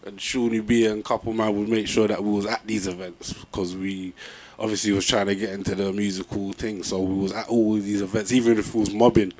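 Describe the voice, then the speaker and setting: A young man with a London accent, chatting casually as an MC being interviewed, with a relaxed manner. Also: lowish voice, fairly quick, hardly any pauses. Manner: laid-back, peppered with "you know what I'm saying".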